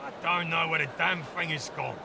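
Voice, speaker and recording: A man playing a gruff, brusque Victorian London fishmonger in an audio drama. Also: a little high, normal speed, noisy background. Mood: grudging, irritated. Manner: brusque, exasperated, barking.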